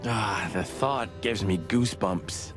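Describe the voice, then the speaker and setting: A streetwise rooster cop sidekick, with a lowish voice. He is sardonic and needling but loyal, in a noir detective game with prominent music underneath. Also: slow pace. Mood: dry, uneasy. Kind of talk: film noir game voice acting. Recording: music behind the voice.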